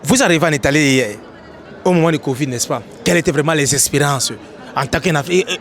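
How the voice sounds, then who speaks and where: A man hosting an outdoor street interview, asking animated questions and often checking with "n'est-ce pas?". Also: a little high, brisk, some chatter behind, slight background noise. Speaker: host, interviewer.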